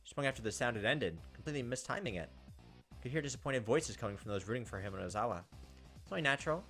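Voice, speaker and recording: A man's voice: medium pitch, expressive, fast talker, a bit bright, faint music behind. A man hosting a gameplay video, reading a visual novel aloud as dramatized, playful narration.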